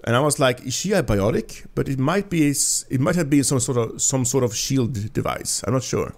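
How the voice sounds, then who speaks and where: A man's voice: mid-range voice, animated, moderate pace. A man from Sweden with a Swedish accent, giving casual, slightly rambling commentary as he plays a video game. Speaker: gameplay host. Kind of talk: casual let's play commentary.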